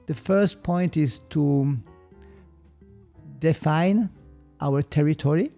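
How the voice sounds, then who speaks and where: A man with a Swiss German accent talks through ideas slowly, stopping now and then to find an English word. His voice has a gravelly edge and sits a little higher than most men's. Faint music plays softly behind him. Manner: slow, thoughtful, gets stuck on English words. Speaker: podcast guest, martial arts teacher. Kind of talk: reflective explanatory talk.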